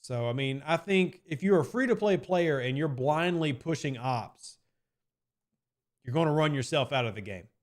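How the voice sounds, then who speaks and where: A man's voice: fairly high pitch, lively intonation, normal speed. A man streaming live and answering chat questions in a casual, rambling way, often hedging with "I mean" and repeating his points.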